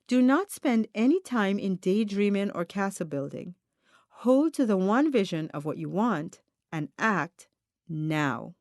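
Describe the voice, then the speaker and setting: Woman reading self-help audiobook, lively intonation. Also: medium pitch, no room sound, clean studio sound. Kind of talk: scripted audiobook narration of a self-help text.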